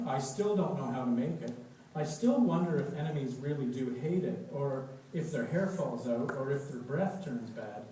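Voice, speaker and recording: A man reading a story aloud to children in an expressive, storytelling way. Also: on the high side. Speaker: story reader leading children at a church. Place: large echoey indoor church space. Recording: echoey room, distant mic, faint noise.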